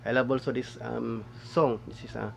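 A man in his thirties from the Philippines with a Filipino accent, hosting a casual music reaction video from a small room, enthusiastic and halting, with many fillers and repeated exclamations. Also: medium pitch, fairly even intonation, vocal fry, a little hiss or hum. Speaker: reaction channel host. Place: small indoor room with patterned cloth backdrop. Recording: faint music playing behind.

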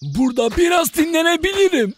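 An actor voicing a big, hungry, good-natured bear in a cartoon dub, with drawn-out, sighing delivery. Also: extremely high pitch, very animated. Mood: tired, curious. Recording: music behind the voice. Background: background music.

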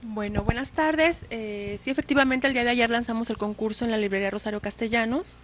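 A woman in her forties, a guest on a studio TV show, calmly explaining a program into a handheld mic. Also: fairly high pitch, brisk, slight background noise. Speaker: guest, contest organizer. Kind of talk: studio interview. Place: small TV studio set, indoors.

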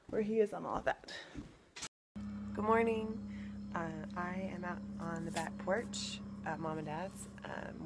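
A young woman talks through her home build in a loose, rambling way, pausing on fillers and small laughs. Her voice sits a little low for a woman. She holds the phone at arm's length, and faint background noise runs under her. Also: vocal fry. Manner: chatty, thinking aloud with fillers and small laughs. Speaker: family vlogger. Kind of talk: casual home-building vlog. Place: house under construction and a back porch. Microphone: phone held at arm's length.

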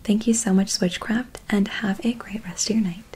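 A young woman whispering a sponsor message in ASMR style, slow and soothing, close to the microphone. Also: mid-range voice, steady tone, darkish tone, a little hiss or hum. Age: young adult. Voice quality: whispery, breathy. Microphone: close microphone, seated at camera.

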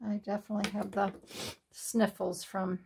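A woman in her sixties chatting at home while she makes a collage at her craft table, with a breathy, sniffly voice, laughing asides and remarks to herself. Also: mid-range voice, little pitch movement, moderate pace, a little mellow, slight background noise. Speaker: craft channel host. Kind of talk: casual chat while making a collage. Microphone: overhead camera on the desk, hands only in frame.

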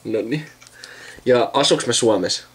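A man's voice: medium pitch, breathy edge. A young Finnish man in his late teens chatting casually and reading the screen aloud in mumbled asides. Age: late teens, young adult. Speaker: video maker narrating an account sign-up. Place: indoors at a computer. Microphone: handheld camera filming a monitor.

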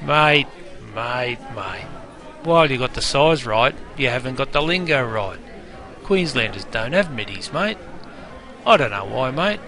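A man's voice: medium pitch, lively intonation, a little slow, sharp tone. A man playing a cheeky, matey barman in an interactive game, with a broad Australian accent and heavy slang. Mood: teasing. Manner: jokey, teasing, heavy slang. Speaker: bartender guide. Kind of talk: interactive game character patter. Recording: busy crowd noise behind. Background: crowd chatter.

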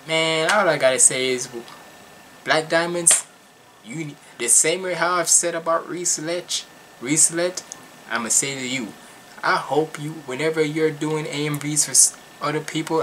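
Reaction host: young man from Jamaica, animated and casual, sharp bright delivery, home recording with slight background noise. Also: a little high. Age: young adult. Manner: animated, slangy, emphatic, repetitive. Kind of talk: casual music reaction commentary. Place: small furnished room at home.

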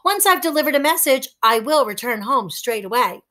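A woman's voice: high voice, animated, a little fast, pushed and bright. A woman voicing a small, polite boy who is earnest and a bit wistful. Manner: polite and apologetic.

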